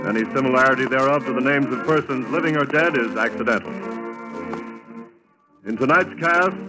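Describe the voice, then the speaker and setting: Formal American announcer, higher-pitched, over music. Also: male voice, rough recording. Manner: polished, formal. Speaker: radio announcer. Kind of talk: formal 1940s radio announcing. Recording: old radio broadcast, music behind.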